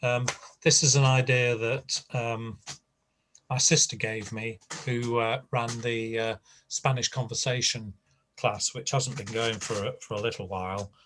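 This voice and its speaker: A man running a class over a video call speaks, unhurried and full of ums. His pitch sits in the middle, and his pace is very slow. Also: fairly even intonation, a bit bright, slight background noise. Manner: slow, hesitant, filler-heavy. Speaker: teacher. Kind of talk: online class.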